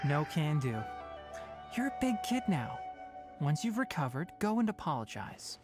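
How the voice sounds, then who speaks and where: A no-nonsense young forest healer from a game. The voice is low and very lively in pitch, firm and scolding but caring, over music. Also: female voice, normal speed. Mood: firm, reassuring. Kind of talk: video game voice acting.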